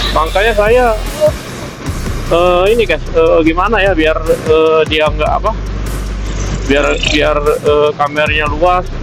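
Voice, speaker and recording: Male motovlogger, high voice, informal and halting delivery that often circles back on itself, music under the talk. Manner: halting, repetitive, self-questioning. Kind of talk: casual vlog chat.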